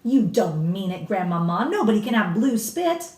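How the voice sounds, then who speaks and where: Woman playing an eager, incredulous child, swooping pitch. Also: sing-song, moderate pace, darkish tone, close to an everyday voice. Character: curious, fascinated young grandchild questioning grandmother. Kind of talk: dramatic children's storybook reading. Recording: roomy echo, distant mic.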